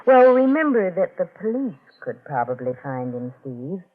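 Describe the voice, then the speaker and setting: A woman's voice: lowish voice, big pitch swings, normal speed, narrow, tinny audio. A young woman playing a cool, sly, self-assured wife in a 1940s radio drama, silky and pointedly insinuating, on a thin old recording. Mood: sly, threatening. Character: cool, sly young wife, sure of herself, quietly threatening.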